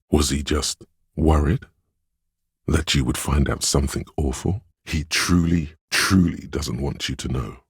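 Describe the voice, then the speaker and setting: A man voicing a grave, compassionate inner voice of empathy, narrating a game in a measured, intimate, solemn way. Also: dry recording, studio quality, exaggerated voice. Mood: grave, perceptive. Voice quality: very deep, velvety, husky. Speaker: game voice actor. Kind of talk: scripted second-person game narration.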